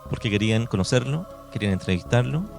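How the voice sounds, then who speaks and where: A man's voice: mid-range voice, expressive, fast, creaky. A Chilean man hosting a radio programme in a courteous, reflective conversational way. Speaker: radio host. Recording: music bed under the voice. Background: background music.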